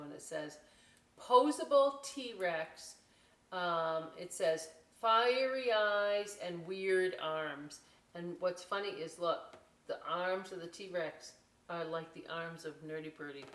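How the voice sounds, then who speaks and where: An American woman presenting a children's story time, reading a picture book aloud expressively and chatting through folksy, explanatory asides. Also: on the low side. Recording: roomy echo, distant microphone.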